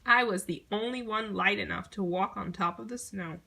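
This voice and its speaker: A woman reading a children's picture book aloud in an expressive storytelling manner. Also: medium pitch, moderate pace, gravelly, breathy edge. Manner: expressive storytelling, acting out short exclamations.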